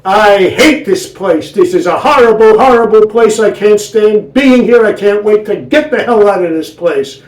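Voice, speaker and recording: A woman's voice: normal speed, hardly any pauses, darkish tone, natural voice. A man voicing an elderly wife who complains dramatically about everything, with a whiny, raised voice. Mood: kvetching. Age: older. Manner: shrill, dramatic, whining. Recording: roomy, from afar.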